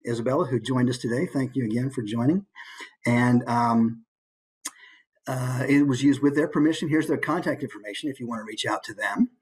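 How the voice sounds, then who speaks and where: A man speaking as a voice actor giving an informal instructional presentation, animated and demonstrating points with his voice. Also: mid-range voice, fairly quick, poor audio.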